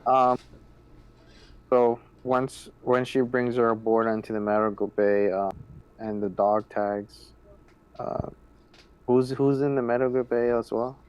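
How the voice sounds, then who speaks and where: Male player, smooth clear voice, average pitch, casual, matter-of-fact tabletop game talk. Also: fairly even intonation, measured pace, long pauses, a little mellow, dull audio. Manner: matter-of-fact, describes character in third person.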